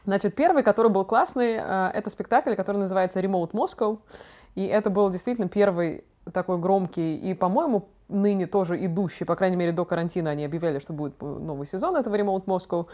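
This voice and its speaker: A young woman talks very quickly in a mid-range voice. She hosts a casual conversation, telling stories in an expressive way with plenty of slang. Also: medium pitch. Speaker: podcast host. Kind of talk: casual chat, personal storytelling.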